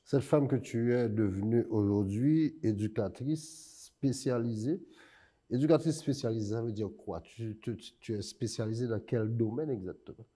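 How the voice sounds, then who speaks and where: A man's voice: mid-range voice, moderate pace, mellow, slightly rough. A man hosting an interview, asking questions and murmuring agreement as he listens attentively. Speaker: host.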